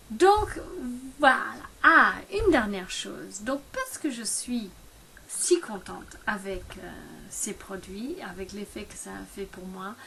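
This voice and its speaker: Woman in her fifties, chatty and halting, fairly high voice, faint background hiss. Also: fairly bright tone. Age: 50s. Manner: chatty, halting, many fillers and restarts. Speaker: product distributor. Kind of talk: casual testimonial to camera.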